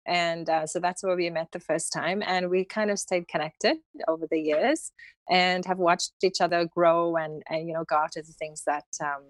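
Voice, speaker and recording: A woman in her thirties with a South African accent, a holistic healing practitioner speaking gently and unhurriedly as a podcast guest. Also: lowish voice, fairly even intonation, dry recording. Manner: gentle and unhurried, with many fillers. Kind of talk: relaxed interview conversation.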